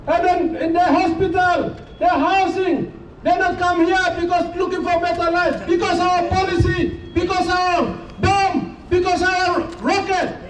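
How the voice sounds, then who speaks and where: A man from West Papua shouts an impassioned speech at a street protest, his voice very high and held almost on one note. He is heard from a distance through a roomy, echoing sound with faint noise behind. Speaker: protest speaker. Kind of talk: rally speech. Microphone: handheld microphone on speakers.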